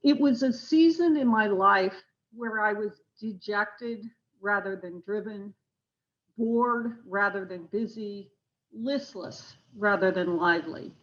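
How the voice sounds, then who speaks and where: A woman's voice: mid-range voice, takes it slowly, fairly bright tone. A woman professor reading a personal essay aloud on an online video call. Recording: dull, lo-fi video call audio.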